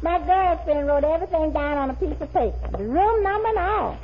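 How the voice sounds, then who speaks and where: Naive, scatterbrained sidekick: tiny sky-high voice, cheerful, 1950s sitcom, faint hiss. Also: female voice, animated, fairly quick. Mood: pleased, breezy. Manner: naive, guileless comic delivery. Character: scatterbrained, cheerful woman friend, proud of her muddled logic. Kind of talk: 1950s radio sitcom acting. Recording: muffled, noisy 1950s radio recording.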